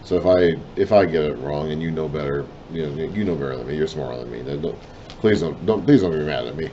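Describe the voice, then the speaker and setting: A man reading and explaining a Bible lesson in a deliberate way, his voice trailing off at the ends of phrases, with self-conscious apologetic asides. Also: extremely low pitch, warm tone, highs cut off, a little hiss or hum. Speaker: Bible teacher.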